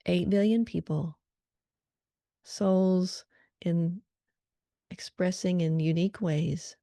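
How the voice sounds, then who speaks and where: A woman leading a guided meditation prayer in a calm, soothing manner, leaving gentle pauses between short phrases. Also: on the low side, vocal fry, no room sound.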